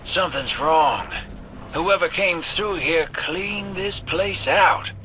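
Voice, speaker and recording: An actor playing a wry, streetwise rogue with a folksy, laid-back manner in a video game cutscene. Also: male voice, sits high, takes it slowly, background noise. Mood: wary.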